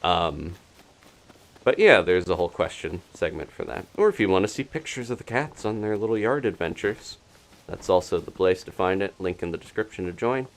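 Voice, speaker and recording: A man's voice: deep voice, animated, normal speed. A man doing live gameplay commentary as a streamer, chatting casually and thinking aloud in a rambling way. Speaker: video game streamer.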